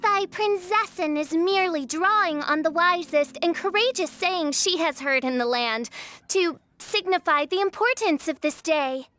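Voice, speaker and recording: High, bright, pompous teenage princess. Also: female voice, a little slow, exaggerated voice. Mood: grandiose. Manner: pompous, archaic theatrical diction. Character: grandiose self-styled teenage princess, melodramatic, easily flustered. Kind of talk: video game cutscene dialogue. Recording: background music under voice.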